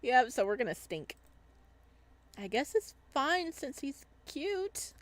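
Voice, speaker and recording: A woman with a fairly high voice plays through a visual novel. She narrates the text expressively and drops into casual, playful commentary as she reads. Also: sing-song, slow. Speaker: let's player reading a visual novel aloud. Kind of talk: casual game commentary mixed with dramatic narration.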